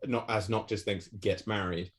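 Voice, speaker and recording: A man's voice: on the low side, steady tone, normal speed. A man from England, a podcast guest, chatting casually with restarts, laughs and self-deprecating asides.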